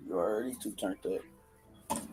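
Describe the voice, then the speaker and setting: A man's voice: fairly low pitch, measured pace, darkish tone, soft background music. A man in casual, slangy banter with the others on a video call. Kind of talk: casual chat. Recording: video call with clear background noise.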